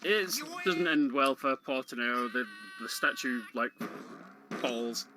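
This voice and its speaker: A man's voice: medium pitch, expressive, takes it slowly, background noise. A man giving casual, explanatory live commentary on a video game, speaking a little hesitantly as he goes. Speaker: speedrun commentator. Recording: loud music behind the voice. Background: video game music.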